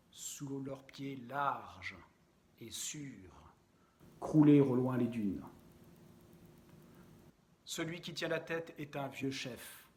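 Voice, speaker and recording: A man reciting poetry in a measured, deliberate and expressive way, with frequent pauses. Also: medium pitch.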